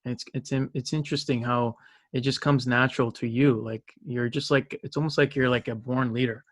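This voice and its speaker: A man of middle pitch hosts a casual interview. He speaks enthusiastically, praising and affirming his guest. His voice comes across dry, with no room sound. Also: fairly even intonation, brisk, dry recording. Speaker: podcast host.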